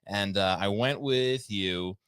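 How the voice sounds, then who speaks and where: Deep bright-voiced man, halting storyteller. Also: clear, resonant tone, no echo, clean studio sound. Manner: halting, pausing delivery, swears freely. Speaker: podcast guest, former stand-up comic. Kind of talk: casual anecdotal chat.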